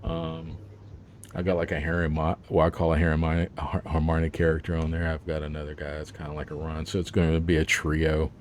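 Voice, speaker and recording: A man's voice: a bass voice, monotone, brisk, slight background noise. A man, an author, talking about his book in a casual interview, rambling with plenty of fillers and laughs.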